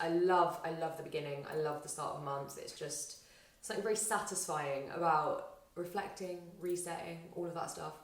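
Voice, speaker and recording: A young woman vlogging casually to camera, chatty and rambling, her voice slightly husky and tired after a weekend out. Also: fairly low pitch, distant mic, a little hiss or hum. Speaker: vlogger and aspiring actor. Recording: roomy echo, faint music.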